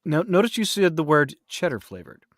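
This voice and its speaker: A man's voice: a little high, sing-song, brisk, no echo, clean studio sound. A man co-hosting a casual podcast from a home vocal booth, bantering in a wry, mock-pedantic way. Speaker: podcast co-host, voice actor.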